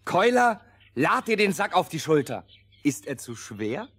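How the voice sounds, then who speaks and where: An actor playing a resolute soldier who leads a band of misfits in a fairy-tale radio play. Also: male voice, a little high, very animated, unhurried, a bit bright, breathy edge, full character voice. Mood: commanding.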